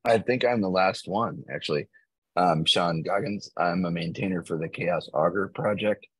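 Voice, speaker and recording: A man with a very low, slightly husky voice hosts a video call from a small home room. He talks in a measured, thoughtful way, restarting phrases now and then. The laptop mic sounds dry and close. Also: fairly bright tone, dry recording. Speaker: meeting host and project maintainer. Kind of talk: casual video call chat.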